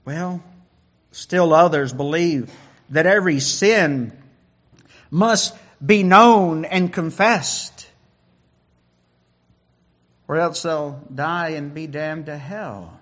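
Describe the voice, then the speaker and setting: A man's voice: fairly high pitch, drawn-out words, pauses often, a bit bright, highs cut off. A man preaching a sermon who often repeats words as he builds a point.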